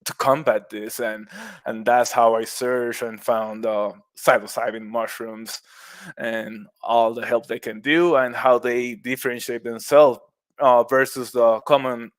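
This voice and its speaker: Puerto Rican man, flat, dry-sounding voice. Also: mid-range voice, slow, dry recording. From Puerto Rico. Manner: friendly, laughs often, restarts words. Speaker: guest, mushroom business owner. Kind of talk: casual podcast interview.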